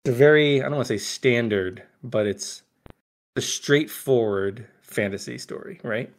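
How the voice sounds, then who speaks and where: A man's voice: mid-range voice, moderate pace. A man co-hosting a podcast, chatting casually about films and often checking for agreement as he goes. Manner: chatty, often seeks agreement with tag questions. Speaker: podcast co-host and author. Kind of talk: casual film discussion.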